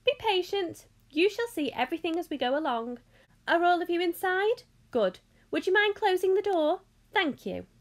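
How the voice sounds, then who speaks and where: A woman voicing an effusive, excitable old male factory owner in a high, fluty voice for a storybook. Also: moderate pace, exaggerated voice. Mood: brisk, cheerful. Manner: gushing, exclamatory, polite.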